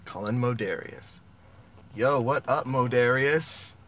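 A man's voice: medium pitch, takes it slowly, a bit bright. A young man chatting casually and playfully on the phone in a car, joking in an amused way. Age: young adult. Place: inside a car at night.

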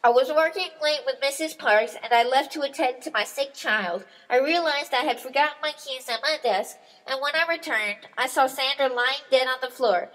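A woman's voice: high-pitched, normal speed, a bit bright, poor audio. A woman playing an earnest male office boss accused of a crime in an amateur radio mystery. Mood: earnest, worried.

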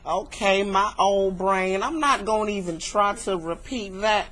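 A woman's voice: mid-range voice, a little slow, fairly bright tone, close to an everyday voice. A folksy old church lady, acted out by a woman for a prank call, doting and nosy, full of sugary endearments and pious talk. She is caught on a webcam with some hum and hiss behind her. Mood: folksy, teasing. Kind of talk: improvised prank phone call. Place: indoor room at home. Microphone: webcam microphone. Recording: noisy, humming webcam recording.

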